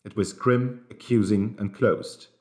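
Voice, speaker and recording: Man, lowish voice, audiobook narration, dramatic fiction. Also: steady tone, unhurried, warm tone. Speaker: audiobook reader. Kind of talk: scripted narration of a dramatic novel.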